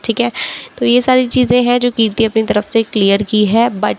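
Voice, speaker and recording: A woman vlogger giving casual gossip commentary, pausing hesitantly mid-sentence as she explains. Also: on the high side, fairly even intonation, vocal fry.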